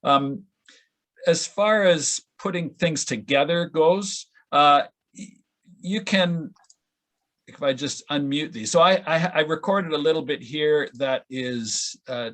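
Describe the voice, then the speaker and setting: A man explaining audio editing step by step in an informal, halting way during an online session. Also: on the high side, fairly bright tone. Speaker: audio editor demonstrating at a conference session. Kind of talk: informal software tutorial. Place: online video call with screen sharing.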